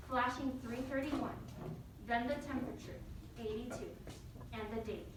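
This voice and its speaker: Echoey, higher-voiced woman teacher reading aloud. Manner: encouraging, addresses pupils as friends. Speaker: elementary school teacher. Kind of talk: leading a class and reading a story aloud. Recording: very echoey, distant mic, faint noise.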